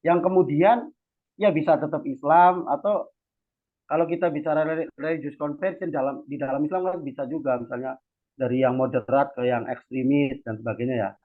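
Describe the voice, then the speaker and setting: A man's voice: fairly high pitch, fairly even intonation, fairly quick. A man from Central Java gives an informal lecture, chatty and slangy, often checking that listeners follow. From Cilacap, Central Java, Indonesia. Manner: conversational, repeatedly adds checking tags while explaining. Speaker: academic discussion host and speaker. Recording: tinny phone-like online audio.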